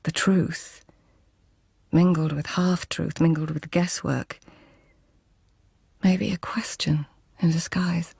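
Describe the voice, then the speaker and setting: An actress playing a poised, guarded court lady of old Japan in a radio drama, breathy and husky, with measured, understated delivery. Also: on the low side, fairly even intonation, a little mellow, heavily acted. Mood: reflective, intimate. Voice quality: breathy, husky, near whisper. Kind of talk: radio drama acting, intimate narration and dialogue.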